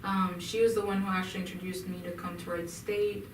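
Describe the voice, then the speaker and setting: A young woman from Egypt answering interview questions in a hesitant way, with many pauses and restarts. She is recorded in an echoey room with the mic some distance away. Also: on the low side, flat delivery, slight background noise. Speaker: interview guest.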